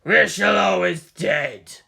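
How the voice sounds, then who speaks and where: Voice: medium pitch, takes it slowly, gravelly, sharp tone, slightly rough, full character voice. Narator memerankan birokrat Inggris yang lambat dan dingin. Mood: cool, pointed. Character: long-chinned British bureaucrat conspirator, coolly matter-of-fact.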